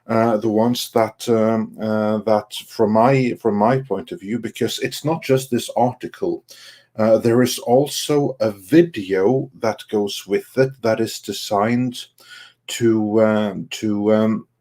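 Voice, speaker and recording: A man giving casual panel commentary in a halting, long-winded explanatory way. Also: fairly low pitch, steady tone, warm tone.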